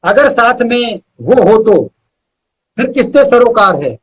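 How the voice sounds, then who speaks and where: A man's voice: very high voice, big pitch swings, slow, slightly rough, rough recording. A man reciting poetry as a guest poet, repeating lines for emphasis, with short asides to listeners.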